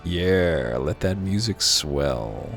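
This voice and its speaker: A man's voice: low-pitched, animated, drawn-out words, creaky, a bit bright, soft background music. A man hosting a video game playthrough with casual, wry commentary and dry humor.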